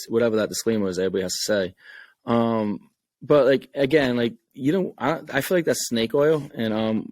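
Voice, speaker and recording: A man with a Rhode Island accent, a game creator talking casually and earnestly on a podcast, often repeating words as he thinks. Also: mid-range voice. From Rhode Island, USA.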